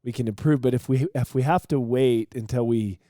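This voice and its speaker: A man's voice: mid-range voice, a little fast, warm tone, no room sound, studio quality. A man, a podcast co-host and former mission president, speaking encouragingly and often checking in with "right?". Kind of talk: conversational interview.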